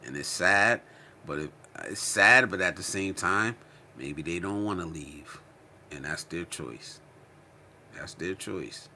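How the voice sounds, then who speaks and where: A man's voice: sits low, slow pace, sharp tone, a little hiss or hum. An American man chatting casually as a livestream host, slangy and informal, repeating phrases for emphasis. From Connecticut, United States. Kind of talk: casual chat, livestream commentary answering viewer comments.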